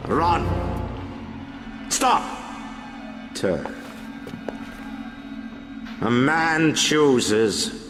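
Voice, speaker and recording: An actor playing a commanding, defiant older tycoon in a video game, with a theatrical, drawn-out, emphatic delivery. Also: male voice, mid-range voice, very animated, music in the background. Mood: commanding, deliberate. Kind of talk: dramatic video game acting.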